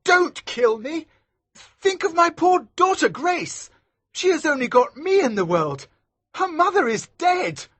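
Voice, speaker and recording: A shrill, panicky magistrate. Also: male voice, very animated, a little slow, pushed and bright, husky, dry recording, heavily acted. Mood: pleading. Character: frightened pompous male magistrate, middle-aged. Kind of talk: audiobook character voice.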